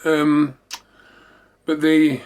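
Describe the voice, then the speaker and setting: A man's voice: on the high side, monotone, extremely slow, a little mellow, smooth. A man hosting a memorabilia show, chatting casually in a rambling, repetitive way. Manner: rambling, repeating himself. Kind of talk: casual show-and-tell chat.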